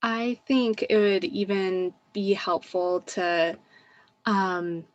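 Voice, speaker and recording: A woman speaking casually in a group discussion, thoughtful and hesitant, pausing as she forms her suggestion. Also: mid-range voice, highs cut off. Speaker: meeting participant.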